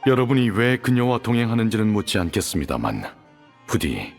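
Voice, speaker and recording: A deep, dignified male judge. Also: very animated, brisk. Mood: grave. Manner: polite, grave and formal. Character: calm, dignified male judge, formal and grave. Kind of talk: video game voice acting. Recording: reverberant game audio with music.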